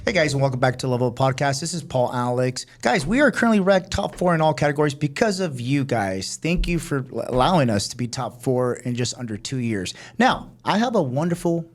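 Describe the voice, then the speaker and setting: A man's voice: mid-range voice, big pitch swings, brisk, few pauses. A man hosting a podcast interview, chatty and enthusiastic, with frequent affirmations. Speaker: podcast host. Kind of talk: casual interview chat.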